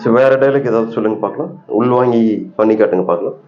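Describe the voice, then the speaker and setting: A man's voice: medium pitch, fast. A man making a prank call as a mock-serious interviewer, asking critical questions on a muffled phone recording. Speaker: prank caller posing as acting auditioner.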